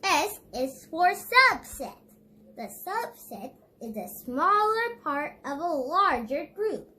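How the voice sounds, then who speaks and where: A woman's voice: sits high, very animated, slow pace, sharp tone. A female reader reading an educational children's book aloud, stumbling over words now and then. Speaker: read-along book reader.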